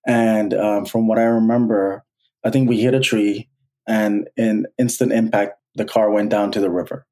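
A man in his thirties from Bangladesh, a guest telling his life story in an earnest, halting way with many restarts. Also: on the low side, level tone, mellow. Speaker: guest, college alumnus. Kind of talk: personal storytelling interview.

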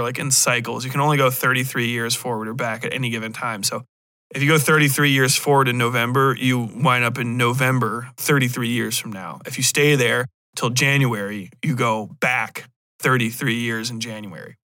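A man's voice: medium pitch, bright, forceful tone, dry recording. An American man hosting a casual solo podcast, rambling through a review in a blunt, irreverent, profane way. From United States. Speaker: podcast host.

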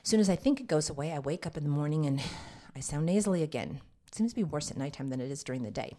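A woman hosts a women's health podcast, and her voice is stuffy and congested. She speaks in a low, warm tone. She chats informally and addresses her listeners directly. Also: expressive, very fast. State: nasal, congested. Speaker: podcast host and women's health nurse practitioner. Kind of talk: casual health podcast talk.

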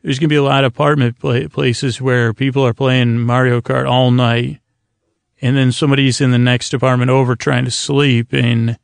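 A man hosting a bedtime podcast in a rambling, halting monologue that trails off and circles back. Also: mid-range voice, flat delivery, vocal fry, dry recording. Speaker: podcast host.

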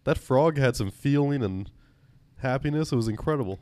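A man's voice: medium pitch, animated, normal speed, warm tone, no echo. A man in his twenties co-hosting a podcast, joking in a mock-serious way with many fillers. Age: 20s. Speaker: podcast co-host. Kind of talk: casual film review chat.

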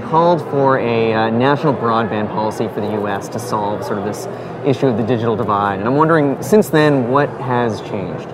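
A man's voice: mid-range voice, a little fast, over a noisy crowd. A man interviewing a guest, asking polite, hesitant questions with many fillers. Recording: noisy background hiss.

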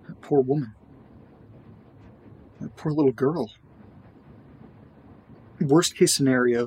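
Clear background ambience sits behind an actor playing a young man of middling pitch. He is a skeptical, anxious but sensible housemate, speaking naturally in a horror audio drama. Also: darkish tone, slight background noise, close to an everyday voice.

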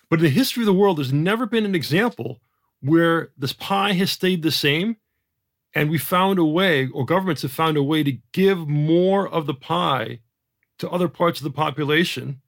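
A man's voice: on the high side, a bit bright. A man from Jamaica with a general American accent, explaining economics as a podcast guest in an emphatic way, often repeating words and adding rhetorical asides.

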